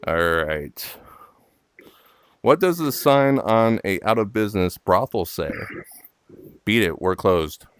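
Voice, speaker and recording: A man's voice: lowish voice, animated, takes it slowly, pauses often, slight background noise. A man hosting a casual golf game with friends, telling crude jokes and laughing often. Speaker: host and golf player. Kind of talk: casual gaming banter.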